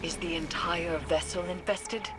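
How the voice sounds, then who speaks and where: A woman's voice: on the low side, steady tone, measured pace, heavy background noise, lightly acted. An actress playing a haunted woman mystic in a video game, guiding a soldier with solemn, formal, cryptic speech. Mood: ominous, solemn. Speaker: mission guide. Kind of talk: dramatic video game acting. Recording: loud music under the voice.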